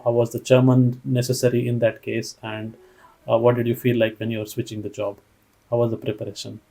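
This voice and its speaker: A man's voice: medium pitch, level tone, brisk, vocal fry, dark tone, rough recording. A young man hosting a casual interview and asking questions with many fillers. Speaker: host.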